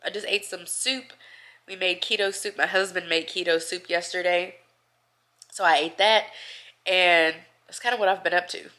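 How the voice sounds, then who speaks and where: A woman's voice: fairly low pitch, steady tone, moderate pace, pushed and bright. A woman chatting casually at home, nasal and congested from a cold, rambling and laughing between thoughts. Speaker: vlogger. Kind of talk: casual chat to camera.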